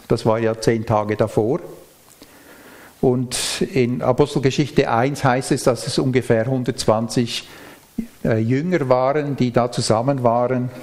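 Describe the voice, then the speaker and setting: A man speaks as a preacher in a medium-pitched voice. He delivers a sermon, moving through scripture with a measured, explanatory manner. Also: a little mellow. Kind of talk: sermon with Bible reading and explanation.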